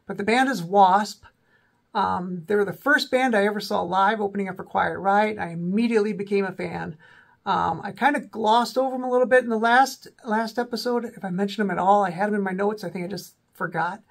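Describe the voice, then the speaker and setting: Guy in his 40s with a cold, gravelly scratchy voice, rambling about old rock records, lots of ums, small room. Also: high-pitched, brisk. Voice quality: raspy, voice may crack. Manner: chatty, lots of ums and self-corrections. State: has a cold, slightly sore throat. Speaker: rock music video host. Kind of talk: casual talking-head album rundown. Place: small indoor room with a backdrop.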